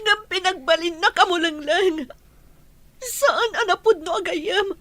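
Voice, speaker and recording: Betrayed young Filipina for radio soap: very high pitch, dry close sound, accusing. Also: female voice, measured pace, fairly bright tone, no room sound. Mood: hurt, indignant. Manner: accusing, emotional. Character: hurt, indignant young woman who feels betrayed. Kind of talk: Filipino radio drama acting in Ilocano.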